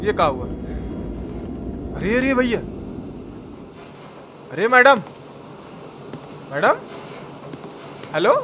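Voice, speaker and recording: Male live-stream gamer, Bihari-style Hindi accent, high pitch, colloquial and full of exclamations, noisy recording. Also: very animated, drawn-out words, long pauses, muffled recording. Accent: eastern Hindi belt, Bihari-style. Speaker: game streamer. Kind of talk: live gameplay commentary, casual chat.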